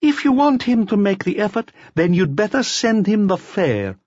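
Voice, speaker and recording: A man's voice: high voice, sing-song, moderate pace, a little mellow, no echo, muffled recording, pitched above the performer's own voice. A man voicing a defiant, scornful young woman in an audiobook, a murder suspect giving curt, stonewalling answers. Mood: scornful, dismissive. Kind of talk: audiobook character voice.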